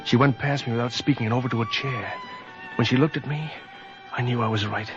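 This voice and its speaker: A man's voice: medium pitch, fairly quick, lightly acted. A man playing a world-weary cop who narrates an old-time radio crime drama in a terse, brooding, hardboiled manner, on a vintage recording. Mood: troubled, weary. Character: world-weary male cop narrating his own guilty downfall. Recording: dull vintage radio recording, music behind.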